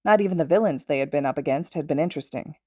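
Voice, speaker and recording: A woman's voice: low-pitched, racing, darkish tone, no echo, studio quality. A woman reading a story aloud as a scripted narrator. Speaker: podfic narrator.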